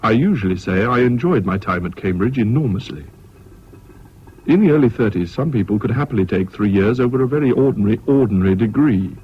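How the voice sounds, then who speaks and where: A reflective, educated Englishman, played by an actor narrates a radio play in measured Received Pronunciation. His voice sits a little low. The old broadcast is muffled with faint hiss. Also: expressive, natural voice. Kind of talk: scripted radio drama narration.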